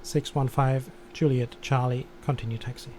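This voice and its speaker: A man with a soft, mellow voice streams an air traffic control game. He gives tower instructions and adds his own commentary over light background noise. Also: medium pitch, steady tone, normal speed.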